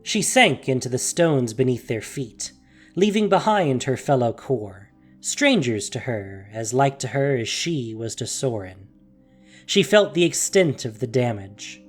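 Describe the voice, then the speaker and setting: A man's voice: medium pitch, expressive, a little slow. Solemn man narrating over faint music. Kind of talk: scripted story narration.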